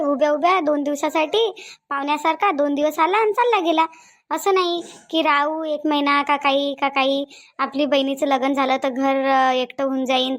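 A woman's voice: high-pitched, a bit bright. A woman voicing a lonely older village mother in a cartoon, nagging and repetitive, with a Varhadi Marathi accent from Vidarbha. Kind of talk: cartoon family drama dialogue.

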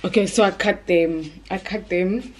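A woman's voice: mid-range voice, a little slow. A woman, chatting informally to camera and thinking aloud at home. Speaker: vlogger. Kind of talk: casual chat to camera. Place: indoors, bedroom and bathroom at home.

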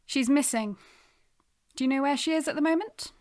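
An actress playing a polite, measured detective sergeant in an audio drama, courteous and matter-of-fact. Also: female voice, sits high, darkish tone, lightly acted.

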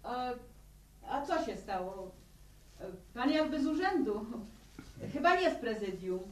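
An actress playing a hardworking, plain-spoken middle-aged farm widow in a 1960 radio serial. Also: female voice, a little high, sing-song, a little slow. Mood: curious. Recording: echoey, distant 1960 radio studio.

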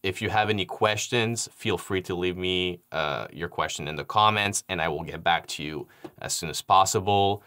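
A young man with a deep, low voice walks through an audio software tutorial in an easy, explanatory way. He talks into a studio microphone in a dark studio and drops in plenty of "um"s and "you know"s. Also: normal speed. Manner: relaxed explanatory, frequent fillers. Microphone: studio microphone on stand.

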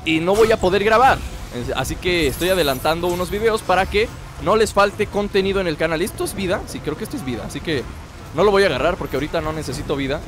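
A young man with a Mexican accent, stuffy from a cold, giving playful, jokey commentary over a video game he is playing, breaking into excited shouts. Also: sits high, fast. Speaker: gameplay commentator. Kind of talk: casual let's-play commentary. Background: game music.

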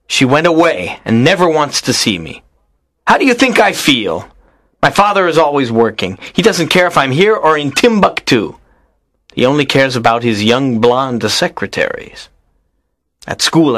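A lonely teenage schoolboy in a storybook drama acted out by a man, earnest and pleading. His pitch moves around a great deal as he makes his case like a determined, unhappy dreamer. The sound is dry and close. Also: a bit bright, no room sound.

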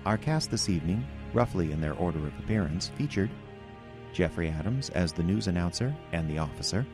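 A man reading scripted radio drama credits as announcer, measured and formal. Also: sits low, animated, over music. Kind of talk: scripted closing credits read.

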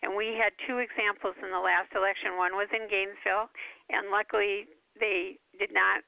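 Very creaky woman on a dull phone line. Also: medium pitch, level tone, moderate pace, dry recording. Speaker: guest speaker, local activist leader. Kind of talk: informal explanation on a conference call.

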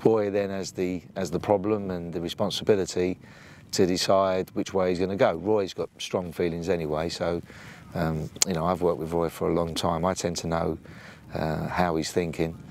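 A booming, gravelly English man in his sixties, speaking as an assistant football coach in an outdoor interview. His voice sits low, and he talks plainly, with frequent ums and restarts. He is recorded by an off-camera mic beside a training pitch, with faint noise behind him. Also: level tone, normal speed, a little mellow. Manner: plain-spoken, hesitant with many ums and restarts. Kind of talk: sit-down interview. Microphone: off-camera mic, no lapel.

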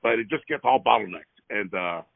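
A man's voice: on the low side, expressive, fairly quick, creaky, dry recording. A man calling a transportation office from his car to complain about traffic, rambling and hesitant but pressing his point. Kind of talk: phone call. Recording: tinny phone line, dry.

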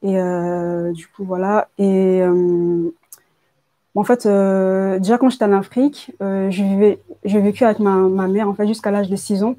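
Young woman, about 19, mid-pitched and softly dark in tone, telling her own story informally and haltingly. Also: flat delivery. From Congo, moved to France at age nine. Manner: hesitant, repeats words, colloquial youth phrasing. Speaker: person giving a testimony. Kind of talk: personal testimony, informal spoken storytelling.

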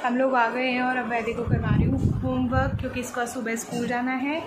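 A woman's voice: a little high, a little fast. A woman in her thirties chatting casually in a daily vlog, filmed on a phone at arm's length in a car and at home, rambling along and coaxing her son through homework. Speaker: vlogger and mother. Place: in a car, then at home indoors. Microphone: phone held at arm's length. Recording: phone vlog with steady background noise.

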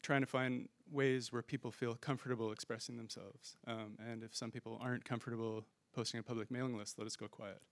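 A man's voice: mid-range voice, level tone, fairly quick, few pauses, no room sound. A man on a conference panel, one of its organizers, speaking thoughtfully and hedging into a handheld microphone. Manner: thoughtful, hedging, frequent fillers and restarts. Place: conference room stage.

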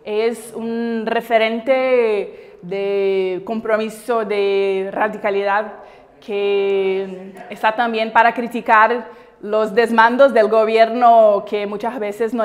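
A woman in her thirties from Brazil, a native Portuguese speaker with a Brazilian accent, giving earnest, deliberate interview answers as a city councillor. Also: a little high. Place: large bright indoor hall. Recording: echoey hall, music behind.

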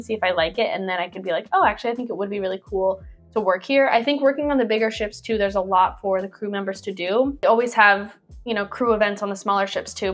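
A young woman in her twenties, a cruise ship performer, chatting casually to camera as she reads out viewer questions and answers them with lots of fillers and little laughs, recorded in a small bedroom on a lapel mic. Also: mid-range voice. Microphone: clip-on lapel mic.

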